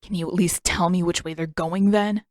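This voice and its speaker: A woman voicing a scowling teenage prince in an audiobook, terse and flat in manner. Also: lowish voice, normal speed, no room sound, clean studio sound, natural voice. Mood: demanding. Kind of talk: audiobook character acting.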